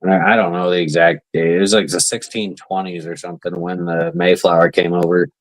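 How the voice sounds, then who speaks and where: A man co-hosting a casual podcast chat, relaxed but quick to argue a point, with plenty of "like". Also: low-pitched, dry recording. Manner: relaxed, argumentative, lots of "like". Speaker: podcast co-host.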